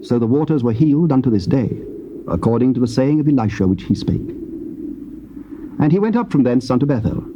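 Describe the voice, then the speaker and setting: A man's voice: mid-range voice, animated, a little fast. A man with a British accent narrating dramatized scripture in formal, solemn archaic English. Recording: dull, noisy old cassette tape.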